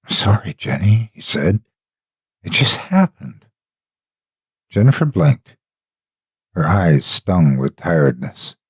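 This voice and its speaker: A man narrating a scripted horror story in a measured, theatrical, emphatic delivery. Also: very deep voice, darkish tone, slightly rough, no echo, clean studio sound.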